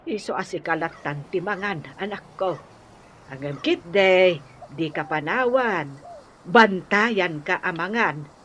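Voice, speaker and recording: A woman's voice: fairly low pitch, slow pace, fairly bright tone. A woman playing a tender older mother fussing over her grown son in a radio drama, with a Filipino accent. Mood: doting. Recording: dull, faintly noisy radio recording.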